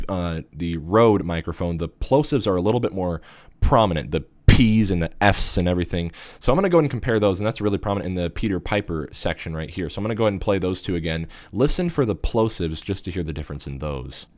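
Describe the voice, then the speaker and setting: A man with a deep voice casually explaining a gear review, with lots of fillers, into a USB studio microphone. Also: expressive, fast, no room sound. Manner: rambling, filler-heavy explanations. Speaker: tech reviewer.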